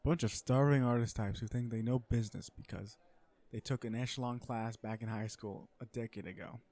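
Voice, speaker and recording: Man acting as cocky businessman villain, medium pitch, sardonic, flirtatious, conversational, romantic comedy audio roleplay. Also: steady tone, fairly quick, a little mellow, lightly acted. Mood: smug, exasperated. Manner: conversational venting, sardonic and self-assured. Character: cocky, flirtatious businessman supervillain on a blind date.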